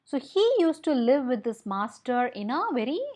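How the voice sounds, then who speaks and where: A young woman with an Indian accent telling a picture-book story to children, explaining as she goes and asking questions in an expressive way. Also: sits high, moderate pace. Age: young adult.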